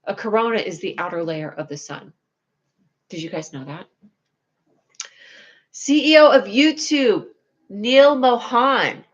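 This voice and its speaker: A young woman with a whiny, needy voice, chatting casually to viewers on her livestream, rambling and scatterbrained, putting herself down and now and then breaking into excited squeals. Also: medium pitch, measured pace, pauses often, highs cut off.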